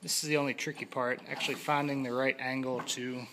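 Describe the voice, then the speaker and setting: A man's voice: mid-range voice, little pitch movement, heavy background noise. A man giving a casual how-to walkthrough in a garage, talking plainly with frequent restarts as he films on a handheld phone. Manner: plain, halting, restarts mid-sentence. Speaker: DIY hobbyist showing a build. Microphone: handheld phone camera.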